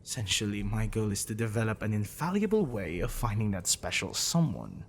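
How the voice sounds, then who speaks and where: Casting: male actor as possessive mad professor for ASMR roleplay, pedantic and smitten, low pitch with very animated inflection. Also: slight background noise. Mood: lecturing, smitten. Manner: pedantic, wordy, teasing, with musing pauses. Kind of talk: intimate ASMR roleplay monologue.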